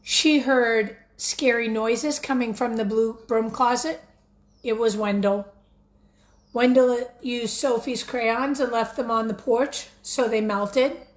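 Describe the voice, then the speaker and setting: A woman teacher reading a picture book aloud expressively, pausing to put questions directly to young listeners. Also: on the high side, rough recording. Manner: expressive, addresses children directly.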